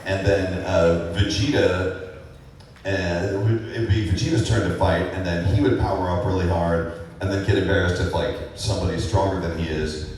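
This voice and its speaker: Deep-voiced man rambling in an echoey hall. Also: steady tone, moderate pace, darkish tone, slight background noise. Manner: rambling, laid-back, many fillers and chuckles. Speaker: voice actor guest on a convention panel. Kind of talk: casual panel Q&A answers. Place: large convention hall. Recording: echoey hall recorded from far off.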